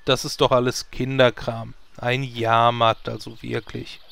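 A man's voice: medium pitch, level tone, measured pace, vocal fry, fairly bright tone, dry recording. A man playing a haughty, grumpy hero who takes himself seriously, in a parody audio drama. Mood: disdainful. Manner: disdainful, stern.

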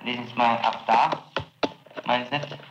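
A man questioning a suspect as prosecutor. His talk is stiff and formal, full of hesitations and "isn't it?" tags. The old tape is muffled and echoey, with a loud hiss underneath. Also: medium pitch, fairly even intonation. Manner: formal, halting, many fillers and tag questions. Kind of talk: archival interrogation tape.

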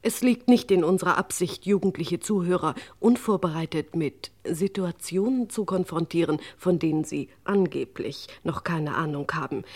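An actress playing a prim, officious announcer reading a formal scripted warning in a comic radio play. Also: female voice, fairly low pitch, a little mellow.

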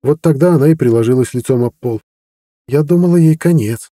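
A man reading an audiobook voicing a blunt young woman warrior who talks frankly and briskly. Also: mid-range voice, mellow, no room sound, clean studio sound, pitched above the performer's own voice, natural voice. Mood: recounting. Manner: brisk, frank and matter-of-fact.

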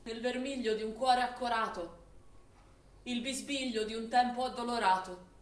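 An actor playing a grave poetic orator, reciting solemn, incantatory mourning verse in a theatre piece. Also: male voice, very high-pitched, fairly even intonation, moderate pace. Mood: mournful, hushed. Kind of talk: stage poetry recitation in radio theatre. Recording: roomy, distant stage recording with hiss.

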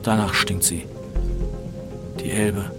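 A man's voice: on the low side, steady tone, slow, vocal fry, over music. A man playing an earnest, melancholic young writer, reciting literary texts in a radio play. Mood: grim, blunt.

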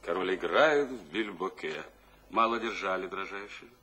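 An actor playing a drily cryptic, muttering man in an audio drama, with a husky voice. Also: low-pitched, expressive, normal speed, sharp tone, a little hiss or hum. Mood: cryptic, dry.

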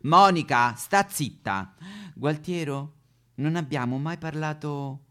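A man's voice: on the high side, animated, takes it slowly, pushed and bright, full character voice. A man playing a needy, sentimental, exasperated wife and mother in a satirical stage sketch. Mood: earnest, reproachful.

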